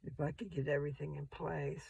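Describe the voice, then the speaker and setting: An older woman with a rough, gravelly voice, rambling slowly and chattily as she vlogs from her parked car. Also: low-pitched, little pitch movement, darkish tone. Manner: meandering, slow, chatty. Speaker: vlogger. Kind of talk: rambling casual vlog.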